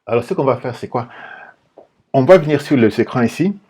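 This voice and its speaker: A man with a Congolese French accent giving informal political commentary in a halting, repetitive, explanatory way. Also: mid-range voice, expressive, mellow, breathy edge. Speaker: political commentator and video host.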